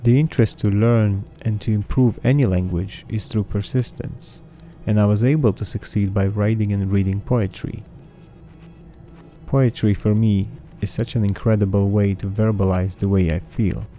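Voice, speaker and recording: A man in his thirties whose first language is Russian, hosting a podcast and reading his own lyrics in an earnest, measured recitation. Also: fairly low pitch, steady tone, warm tone. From Russian-speaking background. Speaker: podcast host. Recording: faint music bed behind voice.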